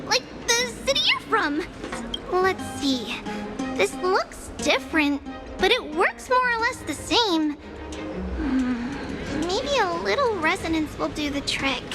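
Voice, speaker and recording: A woman's voice: very high-pitched, very animated, slow, heavily acted. A woman voicing a cheerful, helpful little girl priestess in a video game, chatty and playful. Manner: bubbly, exclaiming, speaks of herself as we. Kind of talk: video game character dialogue. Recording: game music under the voice.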